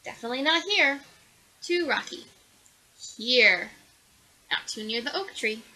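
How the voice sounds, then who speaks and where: A woman's voice: high voice, animated, unhurried, bright, forceful tone, a little hiss or hum, full character voice, rough recording. A woman voicing a determined, polite little girl rabbit with big plans. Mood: eager. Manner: eager and polite.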